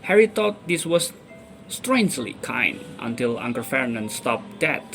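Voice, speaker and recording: Young man, animated storybook narration, measured pace, clear background music. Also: medium pitch. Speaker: reader. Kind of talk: dramatic reading of a children's fantasy novel.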